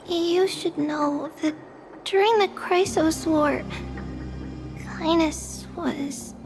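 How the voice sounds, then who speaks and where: A little oracle girl from a game, with a high voice and very slow delivery. She is gentle and earnest, choosing each word softly. Music sits clearly behind her. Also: exaggerated voice. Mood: concerned. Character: gentle, childlike female oracle who reads prophecy. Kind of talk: scripted video game acting.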